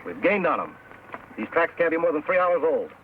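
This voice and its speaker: A careful, commanding frontier Ranger speaks in a somewhat high voice. It comes from an old western radio play with a narrow, tinny sound. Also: male voice, big pitch swings, fairly quick, a little hiss or hum. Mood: cautious, commanding. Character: cautious, authoritative Texas Ranger leader.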